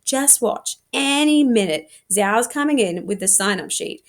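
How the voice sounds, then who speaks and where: A woman's voice: a little high, lively intonation, measured pace. A woman voicing a theatrical, teasing, self-assured teenage boy from a novel in a dramatic, emphatic way. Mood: smug, theorizing.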